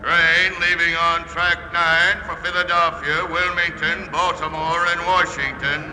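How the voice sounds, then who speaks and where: An actor playing a droning railway station announcer in a 1940s radio comedy. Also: male voice, on the high side, flat delivery, unhurried, sharp tone. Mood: monotone, calling out. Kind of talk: 1940s radio drama acting. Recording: echoey station hall, noisy vintage recording.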